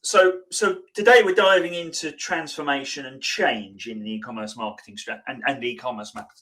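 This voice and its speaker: A man's voice: medium pitch, normal speed, pushed and bright, poor audio. A man hosting a live interview podcast, chatty and prone to stumbling restarts. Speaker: podcast host.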